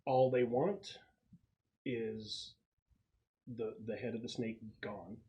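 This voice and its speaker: Man, medium pitch, game master, casual tabletop narration, explanatory, halting delivery. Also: fairly even intonation, dark tone.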